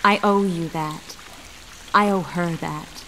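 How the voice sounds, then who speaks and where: A woman playing an earnest, caring young sorceress in a video game, speaking in a measured way. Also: lowish voice, steady tone, fairly bright tone, a little hiss or hum, poor audio. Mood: earnest, devoted.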